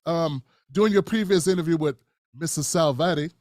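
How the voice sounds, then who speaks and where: Guy hosting a live stream, kinda high voice, lots of ums and restarts, dry close sound. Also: darkish tone, no room sound. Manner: measured, many fillers and restarts, calls guest brother. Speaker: host.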